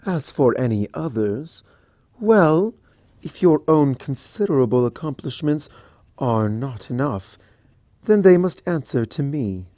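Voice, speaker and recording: A man voicing a regal female princess, gentle yet commanding, in a stately, proclaiming way. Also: mid-range voice, sing-song, measured pace, no room sound, clean studio sound, voice pushed up. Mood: reassuring.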